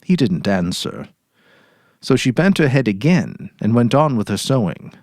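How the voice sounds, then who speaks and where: A man narrating a literary short story dramatically, in a measured, deliberate way. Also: mid-range voice, sing-song, darkish tone, no echo, clean studio sound.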